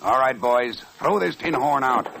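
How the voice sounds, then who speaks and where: A man playing a tough saloon henchman with a gravelly voice and smooth veiled threats, in a vintage radio Western. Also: mid-range voice, animated, a little slow, loud music behind the voice. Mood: menacing. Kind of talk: 1940s radio western acting. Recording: noisy old radio recording.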